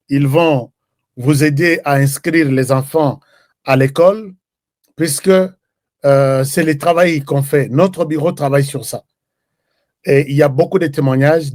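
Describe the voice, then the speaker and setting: A man's voice: a little high, a little mellow, no room sound, clean studio sound. Chatty man hosting a show. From DR Congo. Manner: chatty, switches between French and Lingala, reads viewer comments. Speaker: host. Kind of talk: live political commentary show.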